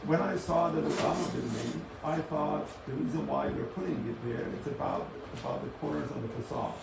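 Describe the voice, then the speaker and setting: A man thinking aloud in an unscripted academic architecture discussion, halting and often rephrasing as he moderates. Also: on the high side. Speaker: discussion moderator responding to speakers. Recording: roomy, distant microphone, clear background noise.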